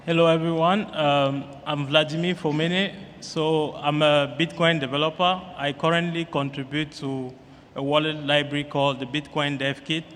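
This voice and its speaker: A man's voice: fairly high pitch, fairly even intonation, normal speed, fairly bright tone. A man, a developer on a conference panel, giving a brief and somewhat hesitant self-introduction. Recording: echoey hall, some noise.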